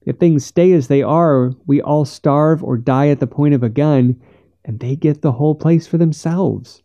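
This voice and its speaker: A man's voice: a little high, normal speed, warm tone, dull audio, voice pushed up, natural voice. A man narrator voicing an earnest, caring man who reasons urgently and persuasively in an audiobook. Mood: careful persuasion. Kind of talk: audiobook character voice.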